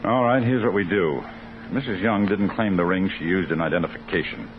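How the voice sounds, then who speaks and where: A stern American district attorney played by a male actor in an early 1950s radio crime drama. His voice is very low, his delivery clipped and authoritative. The old broadcast is muffled, with clear surface noise. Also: fairly quick, natural voice. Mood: brisk, commanding.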